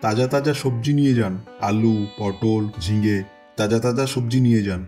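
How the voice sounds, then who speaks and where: A man's voice: fairly low pitch, moderate pace, warm tone, soft background music, natural voice. A man voicing an eager, deferential village vegetable seller in a cartoon. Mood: eager, welcoming. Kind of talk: Bengali cartoon voice acting.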